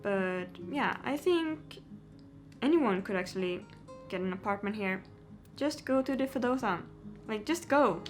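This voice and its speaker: Young girl rambling to camera, giggly, lots of likes, soft guitar music under it. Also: mid-range voice, animated, measured pace. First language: Swedish. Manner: chatty, giggly, lots of "like" and "yeah". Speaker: vlogger. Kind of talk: casual Q&A chat to camera.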